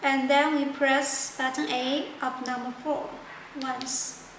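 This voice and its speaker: A woman narrating a step-by-step product tutorial in halting, simple non-native phrasing. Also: sits high, level tone. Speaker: product demonstrator. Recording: echoey room with loud background noise.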